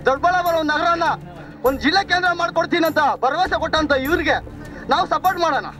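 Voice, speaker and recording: A man's voice: very high voice, quick pace, loud music behind the voice, a loud crowd. A man with a southern Karnataka Kannada accent giving his opinion as a local resident, insistent and repetitive. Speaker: local resident voicing grievances. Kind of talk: spontaneous street opinion.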